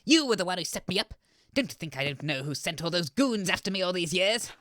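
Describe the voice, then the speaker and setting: Woman playing a sharp-voiced, menacing granny baker. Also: on the low side, animated, brisk, no room sound, studio quality. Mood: defiant, ruthless. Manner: sweetly evasive, veiled threats. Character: menacing granny baker with a violent criminal past. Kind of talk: comedy audio sketch acting.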